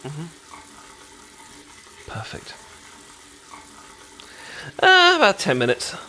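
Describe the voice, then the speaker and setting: A British man playing a caring, chatty best friend in an intimate ASMR roleplay, talking casually with halting, stammering explanations. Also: high voice, huge pitch swings, full character voice. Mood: gentle, reassuring. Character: caring, chatty male best friend teaching sushi making.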